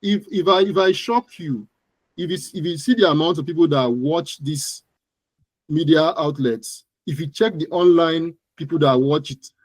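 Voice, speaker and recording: A man's voice: on the high side, normal speed, no echo, poor audio. A man with a Nigerian accent giving interview answers as an expert guest, explaining things emphatically and often repeating words and phrases. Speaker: guest digital marketing expert.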